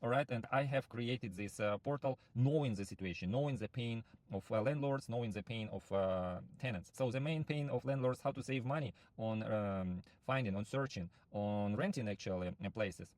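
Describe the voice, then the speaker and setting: A man whose first language is not English, giving a halting sales pitch for a rental platform, full of fillers and restarts. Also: a little mellow, no echo. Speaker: property platform founder recruiting sub-agents. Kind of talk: screen-share walkthrough pitch.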